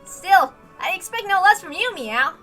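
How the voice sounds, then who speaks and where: Woman as a cocky young male genius, bright voice. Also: very high-pitched, sing-song, normal speed, soft background music, pitched above the performer's own voice, full character voice. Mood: impressed, smug.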